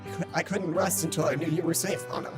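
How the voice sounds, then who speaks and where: Woman as a low-voiced, devoted robot bird. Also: steady tone, fast, over music. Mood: devoted, tender. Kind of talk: visual novel character voice.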